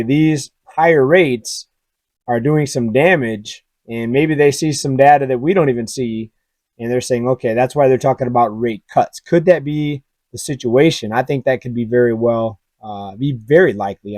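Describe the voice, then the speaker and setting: Male finance commentator, hoarse and a bit raspy, mellow tone, casual and direct, dry booth sound. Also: mid-range voice, normal speed, no echo. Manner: conversational, asks viewers directly, many fillers. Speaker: finance YouTuber. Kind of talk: casual commentary over charts.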